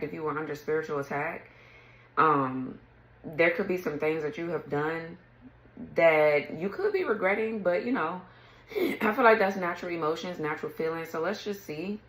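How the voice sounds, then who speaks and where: A woman with a low, raspy morning voice talks casually through a tarot spread and often clears her throat. Her voice sounds echoey, as if she is in a bare room. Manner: chatty, clears throat often, reads card texts aloud. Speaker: tarot reader. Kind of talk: casual tarot reading to camera.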